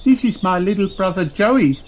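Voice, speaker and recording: An excited little kangaroo girl, squeaking far above a grown man's range in a breathy way, as a man puts her on for a storybook. A steady noisy hiss sits under the voice. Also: moderate pace.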